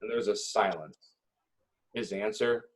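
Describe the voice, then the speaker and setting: Slow-paced man narrating a screenplay. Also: on the low side, low-quality recording. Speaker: narrator reading screenplay directions. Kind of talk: dramatic scripted narration at an amateur table read.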